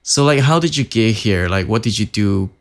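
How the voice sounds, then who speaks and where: A man in his forties, a native Mandarin speaker, hosting a relaxed podcast chat. His voice is very low. He talks in a friendly way with loose grammar. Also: normal speed. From Taiwan or China, Mandarin-speaking. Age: 40s. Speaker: podcast host. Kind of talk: casual interview.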